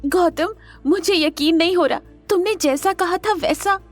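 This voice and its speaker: An actress playing a spirited young professional woman in an audio drama, emphatic and expressive. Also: extremely high pitch, a little slow, soft background music. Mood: amazed. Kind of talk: Hindi audio drama acting.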